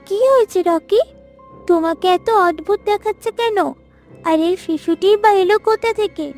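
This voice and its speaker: A woman's voice: very high-pitched, measured pace, a little mellow, soft background music. A woman voicing a confident, curious female jungle animal in a cartoon, bold and coaxing in manner. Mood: curious, concerned. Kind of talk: cartoon story voice acting.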